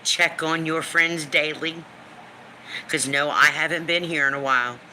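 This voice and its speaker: A woman in her fifties with a deep smoker's voice, venting on a live stream in a blunt, emphatic way, swearing freely and repeating lines for emphasis. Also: steady tone, a little slow, bright, forceful tone, a little hiss or hum, low-quality recording. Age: 50s. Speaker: livestream host. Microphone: phone or webcam for a live stream.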